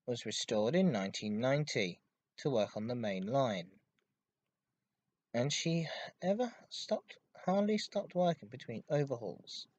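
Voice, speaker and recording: A man hosting a hobby podcast, giving an informative enthusiast monologue in a halting way with stressed intensifiers. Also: medium pitch. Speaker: podcast host. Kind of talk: informative enthusiast monologue about railway history.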